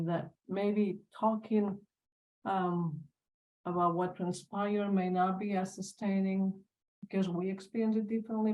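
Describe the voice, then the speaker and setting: A woman on a panel talks in a soft, mellow voice that sits a little low. She is thoughtful and searching, often repeating a word while she finds the next one. The sound is a video call. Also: poor audio. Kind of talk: reflective panel talk.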